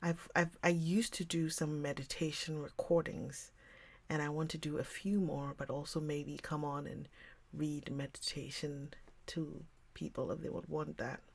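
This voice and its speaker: A woman hosting a livestream, chatting casually with viewers in a rambling way and often dropping into a soft whisper. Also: low voice, little pitch movement, a little slow, vocal fry, slightly rough. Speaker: livestream host reading books. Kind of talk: casual chat with live viewers.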